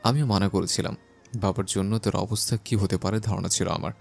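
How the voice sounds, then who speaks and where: A woman voicing an injured young husband in an audio story, curt and caring, with a lowered, gravelly male voice. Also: steady tone, fairly quick, darkish tone, exaggerated voice. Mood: firm, gentle. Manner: firm, curt, scolding tenderly.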